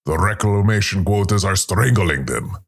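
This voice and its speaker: A man's voice: deep voice, lively intonation, normal speed, gravelly, husky, heavily acted. A man voicing an agitated, secretive tavern rebel who hisses his words in an audio story. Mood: tense, indignant.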